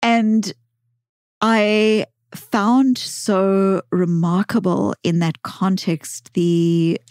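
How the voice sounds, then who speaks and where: A woman's voice: medium pitch, level tone, extremely slow, darkish tone, dry recording, studio quality. A woman from South Africa with a South African accent, a psychologist guest speaking thoughtfully and sometimes stumbling over her words. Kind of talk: casual podcast conversation.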